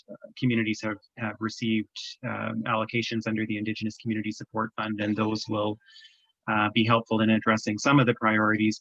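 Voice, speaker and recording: A Canadian man presenting on a government webinar, walking through an application form in a measured, explanatory way with frequent ums. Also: lowish voice, a bit bright, no room sound, highs cut off. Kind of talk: webinar walkthrough. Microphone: video call.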